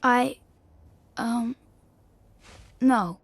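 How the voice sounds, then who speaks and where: Timid young boy, animated fantasy game: high, slow, hesitant, gentle and innocent. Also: a little hiss or hum. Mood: reluctant, timid. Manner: hesitant, soft-hearted, innocent.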